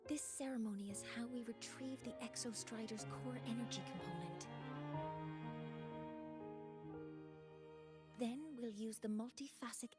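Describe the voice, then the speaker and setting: An actress voicing a gentle, hopeful young woman scientist in a video game cutscene, soft-spoken and earnest. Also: on the high side, natural voice. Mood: earnest, explaining. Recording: game music behind the voice. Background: loud game music.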